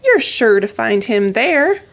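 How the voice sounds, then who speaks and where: A woman voicing a brisk, practical mother in a storybook, matter-of-fact in tone. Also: fairly high pitch, very animated, creaky, a bit bright, full character voice.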